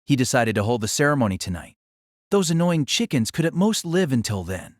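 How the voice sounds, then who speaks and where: A man's voice: mid-range voice, big pitch swings, brisk, dry recording, studio quality. A man narrating a light novel in a dramatic, scripted storytelling style.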